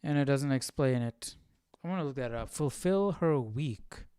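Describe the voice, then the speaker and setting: A man's voice: mid-range voice, animated, normal speed, mellow, smooth, no room sound. A man hosting an informal livestream study, reading aloud and musing as he comments into a close microphone. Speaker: livestream host. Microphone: close microphone with windscreen.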